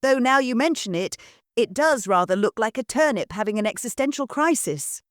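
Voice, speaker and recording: A woman voicing a whimsical, cheerful young daughter who talks brightly and chirpily. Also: on the high side, expressive, moderate pace, dry recording, studio quality, pitched above the performer's own voice.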